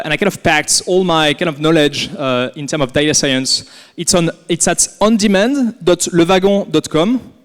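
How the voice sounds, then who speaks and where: A man from France with a French accent, giving a casual workshop presentation as a guest speaker, relaxed and full of fillers and repeated words. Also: on the high side. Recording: echoey hall.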